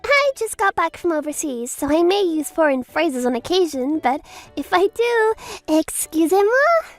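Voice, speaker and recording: Woman doing a gushy greedy anime teacher, super high lively voice. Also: normal speed, faint music behind, exaggerated voice. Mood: cheery. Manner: gushing, peppers talk with French phrases. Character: bubbly, money-obsessed young female schoolteacher. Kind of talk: manga dub voice acting.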